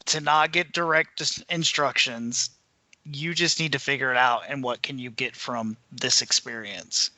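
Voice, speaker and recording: A man's voice: mid-range voice, measured pace, pushed and bright, no room sound, rough recording. A man chatting casually about books as a podcast panelist. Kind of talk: casual book discussion.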